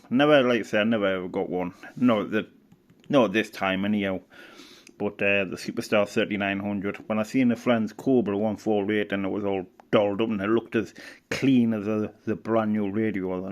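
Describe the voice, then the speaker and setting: A middle-aged man giving a casual, rambling show-and-tell as a hobbyist, hesitant and thinking aloud. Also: lowish voice. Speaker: radio hobbyist presenter.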